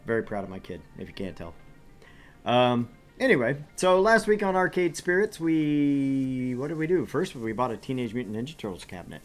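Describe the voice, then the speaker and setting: Middle-aged stream host, mid-pitched voice, rambling and self-mocking, lots of fillers. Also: big pitch swings, moderate pace, soft background music. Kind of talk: casual stream host talk.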